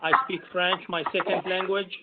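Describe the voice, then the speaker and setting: A man from Algeria whose first language is Arabic, an imam introducing himself at a public meeting in measured speech with many pauses. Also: high voice, little pitch movement, very noisy. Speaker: imam and commission member. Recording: tinny phone-like call audio.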